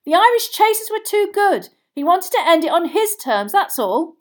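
A woman voicing an excitable young boy sports fan in a storybook, yelling urgently and insistently. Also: very high-pitched, normal speed, voice pushed up. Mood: loud, sure.

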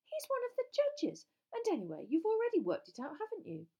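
A woman voices an earnest teenage girl from a storybook. She is prim and proper, pushing her points insistently in a high, lively voice with a disapproving edge. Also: moderate pace. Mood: shocked.

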